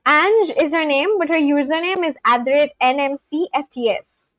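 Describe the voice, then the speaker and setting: Indian woman streaming live, pretty high voice, super chatty, laughs a lot, dry close sound. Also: normal speed, fairly bright tone, dry recording. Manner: chatty, laughs often, talks straight to chat. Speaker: livestream host. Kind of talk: casual live-stream chat with viewers.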